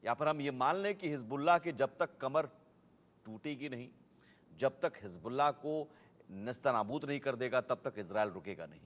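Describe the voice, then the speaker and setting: A man anchors live news, putting emphatic questions with pauses between phrases. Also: medium pitch, expressive. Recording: long hall-like reverb.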